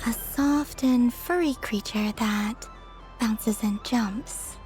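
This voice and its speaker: A woman voicing a gentle, serene young goddess in a video game, soft and innocently curious. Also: on the high side, takes it slowly, music in the background. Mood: gentle wonder.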